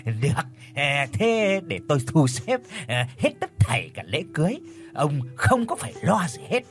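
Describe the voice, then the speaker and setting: A man's voice: fairly high pitch, huge pitch swings, moderate pace, soft background music. A man voicing a lecherous, smug older village man who chuckles and hesitates, in a spoken storybook. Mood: gloating. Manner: hesitant, stammering.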